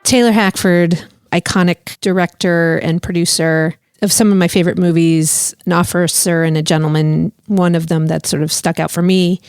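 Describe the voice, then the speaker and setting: A woman's voice: fairly low pitch, steady tone, normal speed, hardly any pauses, a little mellow, clear, resonant tone, no echo, clean studio sound. Chatty woman host with a scratchy voice. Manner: chatty, laughs often. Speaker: podcast host. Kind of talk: casual podcast interview.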